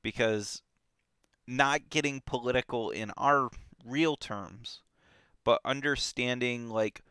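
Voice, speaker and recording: A man hosting a casual book discussion, animated and full of fillers and restarts. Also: medium pitch, slow, clean tone, no echo, clean studio sound. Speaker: book club podcast host.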